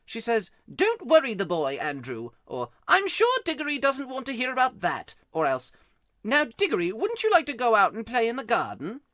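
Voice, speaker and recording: Worked-up schoolboy, raised animated voice, close and dry, put on by a narrator. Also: moderate pace, no room sound. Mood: eager. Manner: pleading, worked up, raised pitch. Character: grubby, miserable schoolboy, tearful and excitable. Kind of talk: audiobook character voice.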